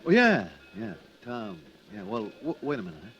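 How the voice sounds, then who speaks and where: An actor playing a scheming crook who poses as an old pal in a radio drama, with a halting, repetitive, offhand delivery. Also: male voice, fairly low pitch, very animated, a bit bright, muffled recording. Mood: distracted, vague.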